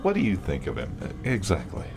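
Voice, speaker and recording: A man playing an anxious, flustered radio station worker in a horror audio drama, halting and stammering. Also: low-pitched, wildly swooping pitch, gravelly, over music, full character voice. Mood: uneasy, hesitant. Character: uneasy, flustered male radio station colleague.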